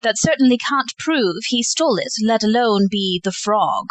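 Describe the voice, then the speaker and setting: A woman's voice: a little high, expressive, moderate pace, dry recording. An actress playing a wry, genteel society lady in an audio play, with an arch, theatrical delivery. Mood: poised, protective.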